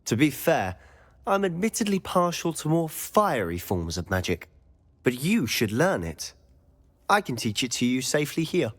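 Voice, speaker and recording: A man's voice: mid-range voice, sing-song, normal speed. A young man playing a confident, secretive student in a game cutscene, eager and conspiratorial. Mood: confiding.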